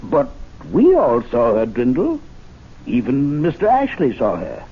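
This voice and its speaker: An actor playing an assured, patronizing gentleman who presides over a club, in measured old-time radio drama style. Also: mid-range voice, huge pitch swings, breathy edge, heavily acted. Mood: condescending, incredulous. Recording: muffled, noisy vintage radio recording.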